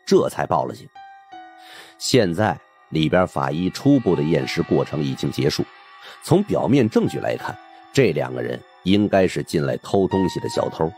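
Deep, very animated male storyteller. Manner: conversational, colloquial storyteller. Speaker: audiobook narrator.

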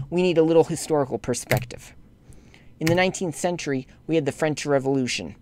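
A man delivering a lecture voice-over over slides, scolding church leaders in a rebuking tone that climbs sharply when indignant, and turning measured when explaining history. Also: a little high, darkish tone. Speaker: lay commentator addressing bishops.

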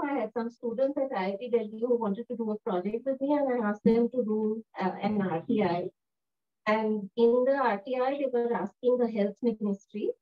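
A woman speaking on a panel in a lecture room, talking in a thoughtful, measured way with frequent fillers. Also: mid-range voice. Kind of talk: panel discussion remarks. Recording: dull lo-fi room recording.

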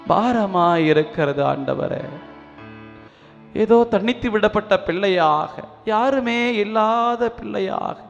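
A man leading a spoken prayer as a priest, pleading fervently, swinging between loud appeals and hushed sorrowful passages. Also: high voice, gravelly, highs cut off. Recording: long reverb with faint music behind.

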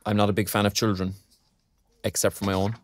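A man's voice: lowish voice, little pitch movement, fairly quick, close to an everyday voice. A male actor playing a preoccupied young graduate in an audio drama, with an Irish accent and a hesitant, self-deprecating manner. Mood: wry. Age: young adult. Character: wry, preoccupied young arts graduate job-hunting. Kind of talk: naturalistic audio drama acting.

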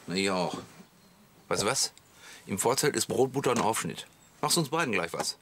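An actor playing a grumbling, jealous macho husband in a comic radio play, blunt and sarcastic. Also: male voice, on the low side, expressive, normal speed, lightly acted. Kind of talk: radio play comedy dialogue. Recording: clear background noise.

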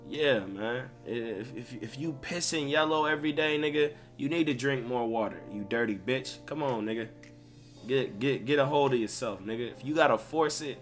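A man's voice: medium pitch, fairly bright tone. A man giving casual, slangy, profane commentary as a gaming creator, with emphatic rants. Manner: slangy, swearing constantly, emphatic ranting. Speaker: gaming video creator and streamer. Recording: music playing behind voice. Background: music.

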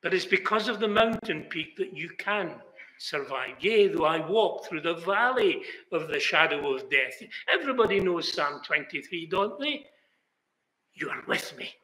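A man's voice: a little high, expressive, unhurried, bright, forceful tone. An older British man preaching a sermon in a chapel, earnest, rising now and then to exclamations. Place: chapel hall, indoors. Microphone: fixed camera, seated mid shot. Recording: echoey chapel room.

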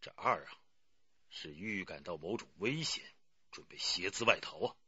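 An actor playing a senior police chief in a radio drama, a calm, avuncular superior who speaks in a measured, supportive way. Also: male voice, deep voice, breathy edge, no room sound, muffled recording, heavily acted. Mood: deliberate, analytical.

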